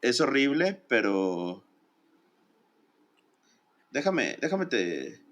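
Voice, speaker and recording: Copresentador de pódcast en charla informal. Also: male voice, mid-range voice, big pitch swings, very slow, a bit bright. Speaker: podcast co-host. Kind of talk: casual chat.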